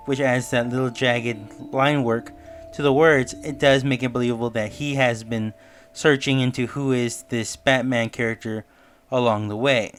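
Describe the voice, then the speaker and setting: A man's voice: medium pitch, a bit bright, smooth. Man narrating a video essay over music. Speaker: online reviewer and theorist. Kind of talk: semi-scripted video essay commentary. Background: background music.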